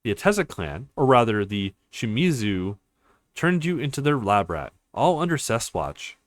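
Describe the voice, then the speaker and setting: A bitter young woman fighter from a visual novel, read by a man in his male register. The delivery is blunt and reproachful, with pitch that rises and falls freely. It is recorded dry. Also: sing-song, normal speed, no room sound, close to an everyday voice. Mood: grim revealing.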